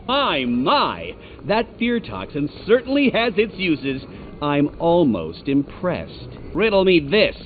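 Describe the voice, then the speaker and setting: Game trickster villain, a boastful riddle fanatic: highish, lively sneer, muffled capture with faint noise. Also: male voice, slow pace, soft background music, exaggerated voice. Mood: amused, scornful. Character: cocky, mocking male riddle-loving supervillain trickster. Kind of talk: video game villain voice acting.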